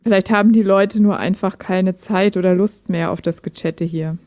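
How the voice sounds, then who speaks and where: A woman playing a gentle, guarded chatbot that talks like a human in an intimate radio play, thoughtful and understated. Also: medium pitch, steady tone, normal speed, dry recording, clean studio sound, natural voice. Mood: pensive.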